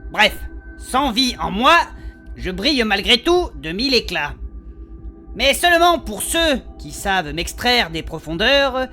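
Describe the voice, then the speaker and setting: A man's voice: high-pitched, very animated, unhurried, pushed and bright, faint music behind, exaggerated voice. A man playing a crude, impatient, easily panicked adventurer who swears and hesitates in a comedic fantasy audio drama. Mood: wary, irritated.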